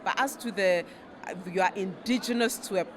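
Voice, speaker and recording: A woman from Ghana, a researcher and panel guest, speaking in a measured, thoughtful way with frequent fillers. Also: medium pitch, noisy background. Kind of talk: panel conversation.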